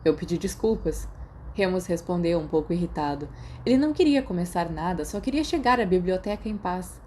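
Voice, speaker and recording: A woman with a Brazilian accent narrates a story from a script. Her voice sits a little below average in pitch. The recording has clear background noise. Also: lively intonation, brisk, warm tone. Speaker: podfic narrator.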